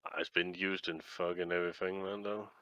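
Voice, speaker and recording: A man's voice: low voice, level tone, fairly quick, bright, forceful tone, no room sound, dull audio. A man chatting casually while playing a game, rambling, swearing often and trailing off mid-sentence. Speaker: let's play co-host. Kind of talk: casual gaming chat.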